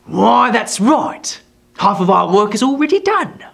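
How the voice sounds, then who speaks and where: A spiteful, scheming dwarf sneers and hisses his words, slow and full of contempt, as a man puts him on. His voice is raised and pressed, dropping now and then to a whisper. It is storybook villain acting. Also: sits high, very animated, vocal fry, fairly bright tone, voice pushed up, full character voice. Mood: sneering.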